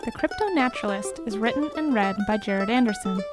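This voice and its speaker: A woman's voice: medium pitch, animated, brisk. A woman announcer reading scripted closing credits for a podcast. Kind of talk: scripted podcast outro narration. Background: plucked mandolin theme music.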